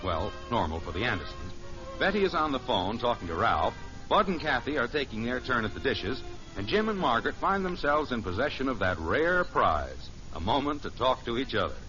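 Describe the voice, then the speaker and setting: Expressive male radio sitcom narrator. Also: mid-range voice, a little fast. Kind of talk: 1950s scripted radio narration. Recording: muffled old radio recording, music behind.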